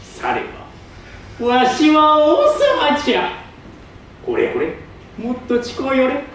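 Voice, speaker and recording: A man's voice: very high voice, wildly swooping pitch, slow pace, fairly bright tone, exaggerated voice. A pompous old king in an echoey hall. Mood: imperious. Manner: pompous, imperious, archaic old-man speech. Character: pompous old king ruling a tiny asteroid. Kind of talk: theatrical staged reading. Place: public hall stage, distant microphone. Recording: echoey hall from far off, faint noise.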